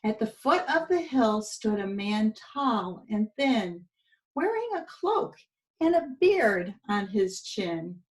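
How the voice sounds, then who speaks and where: A woman's voice: mid-range voice, big pitch swings, slow, poor audio. A woman preschool teacher reading a storybook aloud expressively to young children, with little asides to her listeners.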